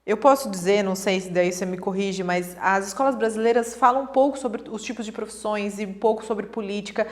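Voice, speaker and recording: A woman's voice: mid-range voice, a little fast. A woman hosting a podcast, chatting casually, often affirming her guest and asking follow-up questions. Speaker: podcast host. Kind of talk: casual interview chat.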